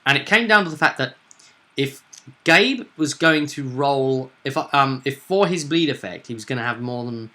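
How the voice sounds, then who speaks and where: Guy running a tabletop game, bright voice, chatting casually and explaining stuff, keeps asking for agreement. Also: medium pitch, lively intonation, moderate pace. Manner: explanatory, often seeking agreement with tag questions. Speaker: game master. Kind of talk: casual chat recapping a tabletop roleplaying game.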